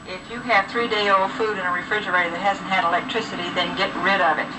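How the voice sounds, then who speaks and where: A woman with a mid-pitched voice gives firm official advice in a news soundbite. She sounds away from the mic on a noisy old videotape. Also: steady tone, quick pace, distant mic. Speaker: news interviewee giving official health advice.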